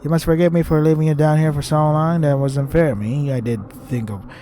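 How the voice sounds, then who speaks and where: A man's voice: on the high side, fairly even intonation, fairly quick, warm tone, a little hiss or hum, close to an everyday voice. A man voicing a flippant, spoiled young princess in a visual novel, prim, chatty and self-absorbed. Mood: airy, spoiled.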